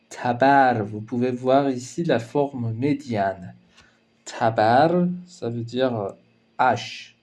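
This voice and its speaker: Slow, mellow-voiced male teacher. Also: mid-range voice. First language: Persian. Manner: slow, careful, explanatory delivery with hesitation fillers. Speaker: language teacher. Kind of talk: language lesson narration.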